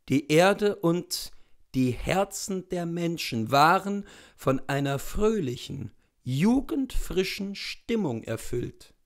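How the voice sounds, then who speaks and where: A man in his thirties reading a literary story expressively, with deliberate dramatic pauses. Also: fairly high pitch, vocal fry. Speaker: literary reader, narrator. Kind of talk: expressive literary audiobook narration. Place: indoors in front of bookshelves.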